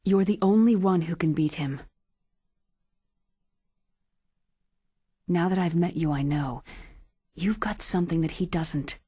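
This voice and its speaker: An actress playing a composed, earnest scientist in a video game, speaking calmly with a soft husky tone. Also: female voice, lowish voice, moderate pace. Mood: earnest, tender. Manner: calm, explanatory. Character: composed, earnest female scientist advising military mission. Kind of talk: video game cutscene acting.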